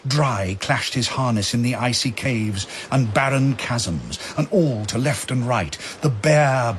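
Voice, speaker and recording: A man narrating dramatic verse with grave, measured, theatrical phrasing. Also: medium pitch, a little hiss or hum. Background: faint music.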